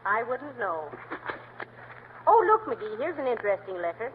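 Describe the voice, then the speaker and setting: Dry-witted wife. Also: female voice, a little high, big pitch swings, moderate pace. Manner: dry, teasing one-liners. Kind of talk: 1940s radio comedy acting. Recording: tinny, hissy old radio broadcast.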